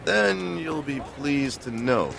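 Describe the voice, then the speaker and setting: An actor playing a sly, insinuating gossip stirring up trouble in an audio drama. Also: male voice, a little high, animated, slow, gravelly, noisy background, full character voice.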